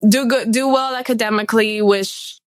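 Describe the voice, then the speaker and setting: A woman's voice: on the high side, fairly even intonation, normal speed, dry recording, clean studio sound. A woman in her thirties from Cuba, a podcast guest telling her own story in a candid, casual way. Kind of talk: personal storytelling.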